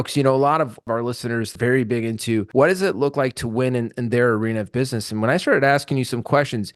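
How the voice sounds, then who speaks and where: A man's voice: medium pitch, dry recording, studio quality. A man hosting an interview podcast, eager and upbeat, often repeating words as he leads into his questions. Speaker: podcast host.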